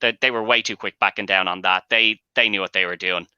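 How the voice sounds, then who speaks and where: A man's voice: deep voice, fairly even intonation, brisk, sharp tone, clean tone, dry recording, highs cut off. A man debating films casually on a show, making emphatic, argumentative points with some swearing. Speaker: panelist and host of a movie debate show.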